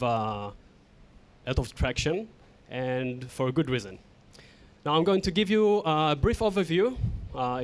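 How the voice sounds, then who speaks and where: A man with an Israeli accent works through a technical lecture at a middle pitch. He is a native Hebrew speaker who pauses on fillers and restarts his sentences as he thinks aloud. He keeps checking in with a quick "right?".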